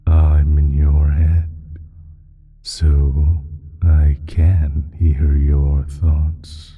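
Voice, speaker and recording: A man playing an ancient, evil primordial being in a slow audio roleplay, speaking deliberately in a smug, menacing, condescending way with a husky, breathy voice. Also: very deep voice, little pitch movement, narrow, tinny audio, exaggerated voice. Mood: condescending, amused.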